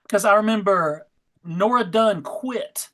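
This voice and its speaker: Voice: mid-range voice, slow, fairly bright tone. A convention panel member and organizer chatting casually in a group of friends.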